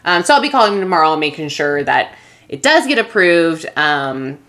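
A woman's voice: low-pitched, very animated, normal speed, fairly bright tone. A woman in her thirties in casual conversation, upbeat, chatty and supportive. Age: 30s. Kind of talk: casual chat.

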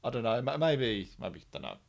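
A man's voice: mid-range voice, very animated, moderate pace, gravelly. A man co-hosting a podcast, talking in a casual, conversational way as he discusses an episode. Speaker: podcast co-host.

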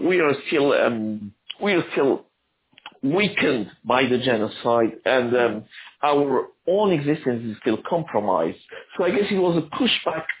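A man who grew up in Argentina, an author answering interview questions in a halting, thoughtful way with many restarts. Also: mid-range voice, phone-quality sound.

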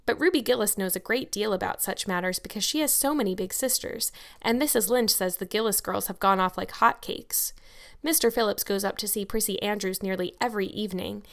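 A woman's voice: mid-range voice, brisk, creaky, lightly acted. A woman voicing a talkative, imaginative young girl with an earnest, grandly worded way of speaking in an audiobook. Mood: chatty, earnest. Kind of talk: audiobook character dialogue.

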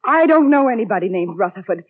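A woman's voice: medium pitch, animated, fast talker, narrow, tinny audio, close to an everyday voice. A woman playing a curt, defensive suspect in a mid-century radio drama. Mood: defiant, defensive. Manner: curt, combative. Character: sharp, defensive woman denying any wrongdoing. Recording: thin band-limited vintage radio recording.

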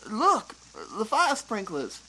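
A bright, forceful voice over faint noise, a performer's, playing a folksy male survivor in a zombie audio drama. He stammers and hesitates, slow-paced but swinging in pitch. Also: huge pitch swings, exaggerated voice, rough recording. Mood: nervous. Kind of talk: amateur audio drama acting.

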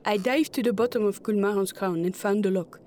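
A woman voicing a bold young woman diver in an audio drama, brisk and matter-of-fact. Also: medium pitch, a little mellow, a little hiss or hum, natural voice. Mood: recounting.

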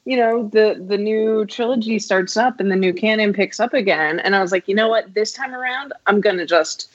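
Enthusiastic woman host, mid-pitched voice, dry dull recording. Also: normal speed, no echo. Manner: enthusiastic, chatty. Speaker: podcast host. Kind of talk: casual interview chat. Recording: dry, muffled lo-fi audio.

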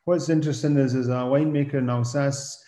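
A middle-aged man with a Scottish accent, chatting casually as a podcast guest, relaxed and rambling with frequent restarts. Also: medium pitch, fast, roomy sound. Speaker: guest, wine blogger. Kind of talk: casual conversation.

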